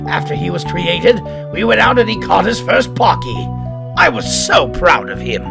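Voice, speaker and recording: A man playing a surly, boastful middle-aged mad doctor in an audio drama, pompous and melodramatic, with a gravelly voice. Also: high-pitched, very animated, normal speed, full character voice. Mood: nostalgic pride. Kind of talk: theatrical audio drama acting. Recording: music behind the voice.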